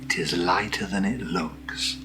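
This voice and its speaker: Very deep, soft-spoken British man, unhurried. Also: over music. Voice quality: soft, breathy, often near whisper. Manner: unhurried, murmuring, gently playful asides. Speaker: ASMR product reviewer. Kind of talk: soft-spoken ASMR, unscripted unboxing chat.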